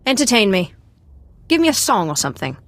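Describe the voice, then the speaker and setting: An actress playing a cool, commanding Sith acolyte interrogating someone in a video game, controlled, clipped and authoritative. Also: female voice, medium pitch, big pitch swings, fairly quick, no echo, close to an everyday voice. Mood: mocking.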